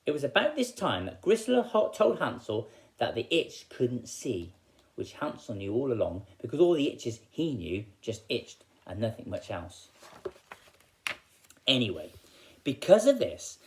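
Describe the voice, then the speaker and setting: A man reading aloud as a storyteller for children, in an expressive style. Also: mid-range voice, measured pace, vocal fry, rough recording.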